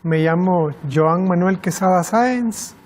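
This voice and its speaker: Man, Guatemalan accent, slightly high pitch, panel participant, self-introduction. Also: unhurried, a little mellow. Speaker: guest participant, environmental engineer.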